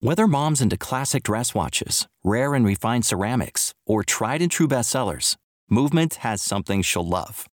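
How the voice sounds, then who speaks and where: A man's voice: mid-range voice, animated, normal speed, no room sound, clean studio sound. A man delivering a scripted advertisement as a podcast ad reader.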